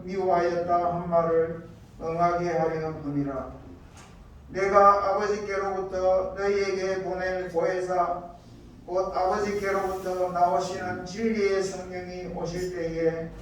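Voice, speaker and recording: Echoey, high-voiced male pastor preaching. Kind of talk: scripture reading and sermon. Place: church hall. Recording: echoey church, distant mic, faint noise.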